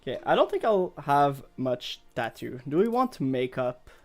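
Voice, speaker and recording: A man's voice: medium pitch, very animated, unhurried, a little mellow. French-Canadian man streaming casually over music. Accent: Quebec French. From Montreal, Canada. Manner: hesitant, rambling, thinking aloud. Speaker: video game streamer. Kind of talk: casual live gameplay chat.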